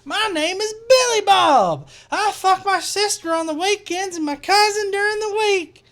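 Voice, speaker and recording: Rowdy redneck hillbilly guy, high-pitched and whooping; a man doing the voice. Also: expressive, a little slow, fairly bright tone, heavily acted. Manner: hollering, high and crude.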